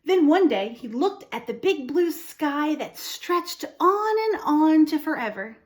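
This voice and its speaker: A woman reading a picture book aloud to young children, expressively, pausing to explain and ask them questions. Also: high-pitched, sing-song. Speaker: storytime host reading to children.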